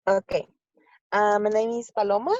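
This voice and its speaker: A woman in her twenties from Mexico, a native Spanish speaker, introducing herself in halting beginner English on a video call. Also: mid-range voice, level tone, a little mellow, dry recording, muffled recording. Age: 20s. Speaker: language exchange student. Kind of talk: casual video call chat.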